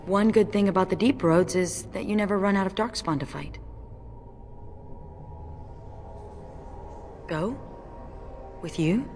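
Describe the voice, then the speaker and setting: A woman's voice: mid-range voice, normal speed, darkish tone, faint music behind, natural voice. A woman playing a stern, fatalistic dwarf warrior in a video game, speaking in an earnest, wry way.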